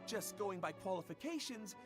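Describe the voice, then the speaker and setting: Game part: obsequious young assistant, calming and deferential, lively higher pitch over music. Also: male voice, moderate pace. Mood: soothing, flattering. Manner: placating, obsequious. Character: deferential, soothing, flattering younger male academic assistant.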